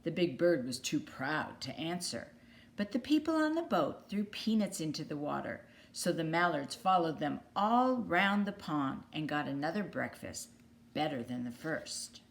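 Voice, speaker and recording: Lower-voiced woman reading a children's story. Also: very animated, measured pace, vocal fry. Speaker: storybook reader. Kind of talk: read-aloud children's story narration.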